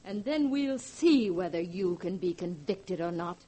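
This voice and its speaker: A woman's voice: lowish voice, expressive, unhurried, over music. A woman playing a determined young friend in a 1940s radio drama, earnest and firm with theatrical diction. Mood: determined, accusing.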